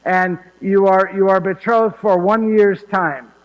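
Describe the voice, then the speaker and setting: Guy playing joseph telling stories, lilt, laughs a lot, keeps asking yes?, highish voice, echoey hall. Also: steady tone, unhurried, dull audio. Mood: fond. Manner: folksy, laughing, asks "yes?" often. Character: warm old Joseph of Nazareth, chatty storyteller. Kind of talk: one-man stage monologue. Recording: hall reverb.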